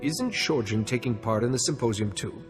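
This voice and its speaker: An actor playing a steady, wise Jedi in a video game, speaking in a calm, measured manner. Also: male voice, medium pitch, lightly acted. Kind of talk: video game cutscene acting. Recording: long reverb, music underneath.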